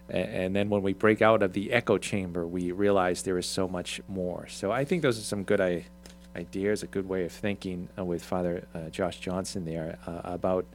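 A man hosting a talk show, summarizing an article in a halting way, with many ums and restarts. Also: low voice, a little hiss or hum. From Irish, Swedish ancestry mentioned. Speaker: radio show host. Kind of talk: casual talk show discussion.

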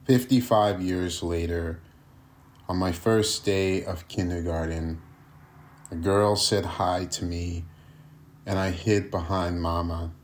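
Male poet, deep and unhurried, solemn reading with deliberate phrasing. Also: steady tone. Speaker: poet reading own poem.

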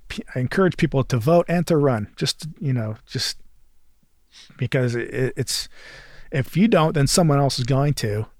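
A middle-aged man from Western New York with a mixed Great Lakes and Southern accent, talking casually as a candidate guest, relaxed and chatty with many fillers and restarts. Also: mid-range voice, no room sound, studio quality. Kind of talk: casual conversation.

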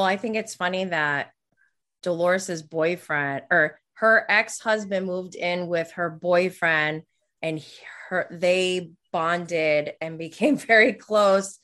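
A woman's voice: fairly low pitch, fairly bright tone. A woman in her thirties co-hosting a casual, conversational TV recap chat. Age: 30s. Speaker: podcast co-host.

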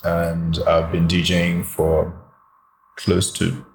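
A man in his forties from Ghana and London, a lecturer and DJ, speaking in a relaxed, measured way as a podcast guest. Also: low-pitched, vocal fry. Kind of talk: interview conversation. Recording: echoey room, faint noise.

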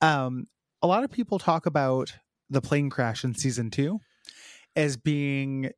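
Man with a froggy voice, halting. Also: mid-range voice, no echo, clean studio sound. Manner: halting, lots of fillers and restarts. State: froggy voice, talking around a sore tooth. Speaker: podcast co-host. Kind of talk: casual chat.

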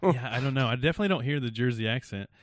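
A man's voice: medium pitch, expressive, rapid-fire. A man hosting a podcast, chatting casually and rambling with frequent laughs. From South Carolina. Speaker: podcast host.

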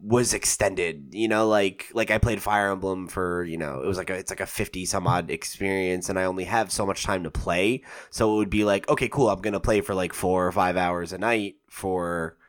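A man's voice: deep voice, steady tone, normal speed, few pauses. A man in his twenties co-hosting a gaming podcast, chatting casually and rambling with lots of fillers and restarts. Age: 20s. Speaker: podcast co-host. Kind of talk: casual chat.

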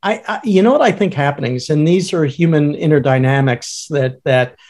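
A man co-hosting a podcast who calls himself a baritone, thinking aloud in halting, filler-heavy speech. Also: a little mellow. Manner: hesitant, many uh fillers and restarts. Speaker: podcast co-host. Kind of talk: casual conversational podcast.

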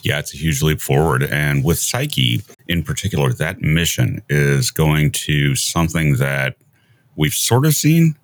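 A man hosting a conversational science interview in a measured, musing way. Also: rumbling low, sing-song, no room sound. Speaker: podcast host interviewing a guest.

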